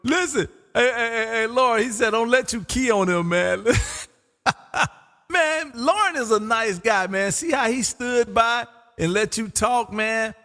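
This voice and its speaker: High-pitched jovial man, heavy reverb. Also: measured pace, bright, forceful tone. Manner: jovial, teasing, repeats himself, often says "man". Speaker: amateur radio operator and livestream host. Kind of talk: casual radio chat, live streamed. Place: home radio room.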